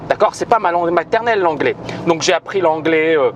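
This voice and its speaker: A man's voice: on the high side, animated, a little fast, dull audio, background noise. A man who founded a trading school makes an emphatic video announcement, repeating himself and hesitating often. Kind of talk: spoken video announcement to viewers.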